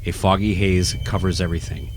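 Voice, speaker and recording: A man with a fairly low voice narrates a horror radio play. He reads the scripted action as storyteller. Faint music plays behind him. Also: fairly even intonation, a little fast.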